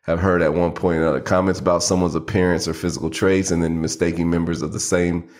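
A man's voice: low-pitched, flat delivery, fast talker, darkish tone. A man co-hosting a podcast, chatting casually and telling stories with frequent restarts. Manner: storytelling, frequent restarts and fillers. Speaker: podcast co-host. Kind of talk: casual chat.

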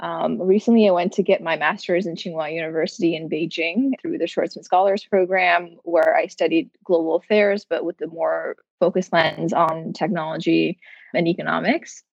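A young woman from Nepal, raised in the US, introducing herself fluently as a guest. Her voice is gravelly and sits a little low. The recording is dull, dry and lo-fi. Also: steady tone, moderate pace, no room sound. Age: young adult. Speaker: guest, fellow. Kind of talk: self-introduction in an interview. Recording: dull, lo-fi mic.

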